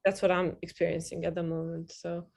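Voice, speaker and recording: A woman's voice: lowish voice, completely flat delivery, fairly quick, a little mellow. A woman with a Serbian accent, introducing herself on a casual online networking call, friendly and hesitant with many fillers. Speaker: agency founder and guest. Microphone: video call.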